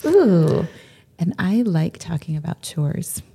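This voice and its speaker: A woman with a raspy, husky voice co-hosts a podcast in relaxed conversation. Her tone is low and mellow with a gravelly grain. She talks enthusiastically and tends to double up her words. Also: takes it slowly. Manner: enthusiastic, repeats herself, warm and gushing. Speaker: podcast co-host. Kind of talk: casual chat.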